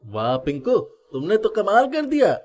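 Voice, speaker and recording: A man voicing a commanding yet encouraging school maths teacher in an animated moral story, emphatic and declamatory. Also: high-pitched, moderate pace, voice pushed down. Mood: impressed.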